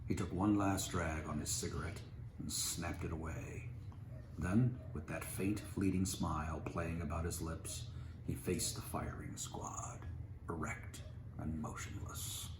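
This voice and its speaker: Male storyteller, low-pitched, narrating prose; echoey room. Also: fairly even intonation, unhurried. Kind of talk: story narration, reading aloud. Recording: roomy, noisy home recording.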